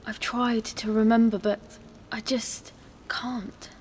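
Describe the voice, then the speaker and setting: A woman's voice: a little high, level tone, slow, slight background noise. An actress playing a subdued, troubled teenage schoolgirl in a video game.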